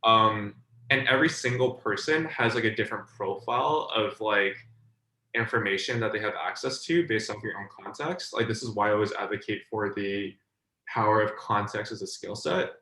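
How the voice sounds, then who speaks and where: A man's voice: fairly low pitch, normal speed, pushed and bright. A young man from China, a design mentor, explaining ideas in a loose, talky way with lots of fillers, on a video call. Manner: loose and talky, filler words, "like" often, checks "does that make sense". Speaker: design mentor hosting a group session. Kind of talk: casual online teaching. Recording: roomy echo.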